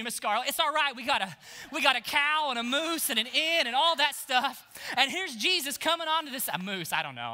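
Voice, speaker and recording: A man in his thirties preaching an energetic sermon on a church stage, swinging from hushed lines to shouts. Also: extremely high pitch. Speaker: pastor.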